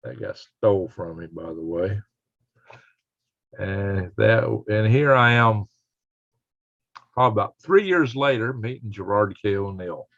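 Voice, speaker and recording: An older man with a raspy voice chatting casually and folksily as he hosts a live stream and reads out comments. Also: on the low side, animated, measured pace, long pauses, fairly bright tone. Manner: folksy, rambling, chuckling country talk. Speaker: livestream host. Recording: dull lo-fi livestream audio.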